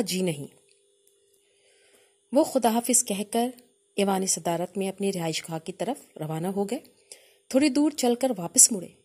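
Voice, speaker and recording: A woman with a Pakistani accent narrates a literary memoir in a measured, formal storytelling manner. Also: mid-range voice, warm tone. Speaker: audiobook narrator reading a memoir.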